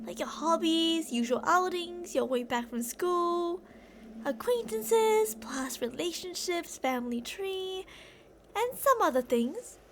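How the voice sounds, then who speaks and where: A woman's voice: extremely high pitch, expressive, takes it slowly, slight background noise, exaggerated voice. A woman playing an obsessive, delusional female kidnapper who rambles theatrically and teasingly to a captive in an ASMR roleplay. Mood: matter-of-fact rambling.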